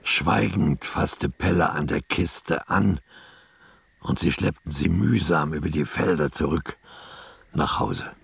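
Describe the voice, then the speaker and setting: A man narrates a literary radio play in an extremely deep voice. It is heavily gravelly and very raspy, reading scripted prose. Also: slow, no room sound.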